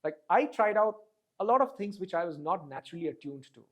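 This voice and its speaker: A man with an Indian English accent, a podcast guest telling stories in a casual interview, fluent and animated, often adding "right?" and "you know". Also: sits high, fast, highs cut off. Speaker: podcast guest, design executive.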